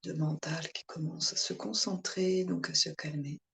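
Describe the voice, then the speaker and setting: A woman yoga instructor guiding a relaxation meditation in calm, soothing short phrases with pauses. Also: low-pitched, creaky.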